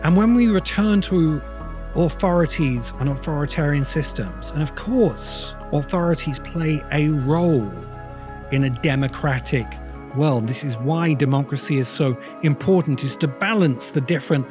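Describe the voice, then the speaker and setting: Deliberate man musing over background music. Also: a little high. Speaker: host of a science fiction video essay podcast. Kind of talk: reflective spoken essay, semi-improvised monologue.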